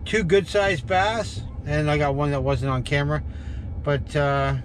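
A man's voice: a little high, unhurried, slight background noise. A middle-aged Canadian man with a Canadian accent, narrating a casual fishing vlog in a folksy, joking way, from his car and from a creek bank. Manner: folksy, joking, thinks aloud with fillers. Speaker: fishing vlogger. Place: inside a car, then outdoors by a creek. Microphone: camera on dashboard, later far camera on the bank.

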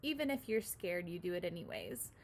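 A woman's voice: on the low side, huge pitch swings, fairly quick, slight background noise. A woman in her twenties, a pre-kindergarten teacher, reading a picture book aloud and explaining it simply and expressively with questions for young children. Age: 20s. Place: small room at home, indoors.

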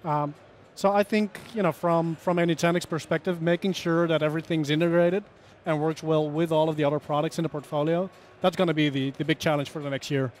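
Youngish guy analyst on a headset mic at a trade show, talks smooth and steady, a bit high-pitched. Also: brisk, slight background noise. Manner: fluent, explanatory, tag questions. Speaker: industry analyst guest. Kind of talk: live trade-show interview. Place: busy conference hall.

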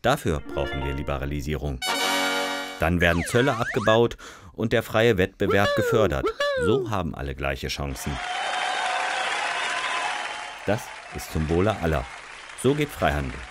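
Male actor as overselling cartoon fairy: deep, swooping, emphatic and smug, loud music underneath. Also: wildly swooping pitch, moderate pace, a loud crowd. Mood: grandly promising. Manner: salesman-like, emphatic, theatrical. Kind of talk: scripted fairy-tale advocate in animated explainer. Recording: music behind the voice.

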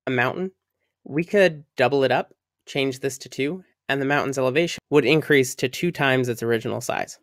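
Dry, close-miked man explaining software. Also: mid-range voice, no room sound. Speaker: software tutorial instructor. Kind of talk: screen-recorded tutorial narration. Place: voice-over for a screen recording.

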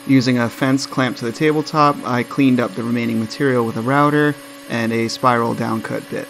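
A man's voice: mid-range voice, steady tone, fairly quick, gravelly, a bit bright, a little hiss or hum. A man narrating a woodworking build step by step in a plain, matter-of-fact voice-over. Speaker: woodworking channel host.

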